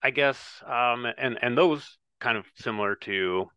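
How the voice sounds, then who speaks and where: A man's voice: medium pitch, measured pace, pushed and bright, clear, resonant tone, no echo. A man hosting a podcast, asking long, rambling questions full of hedges and fillers. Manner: rambling, hedging, many fillers. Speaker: podcast host. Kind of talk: casual interview hosting.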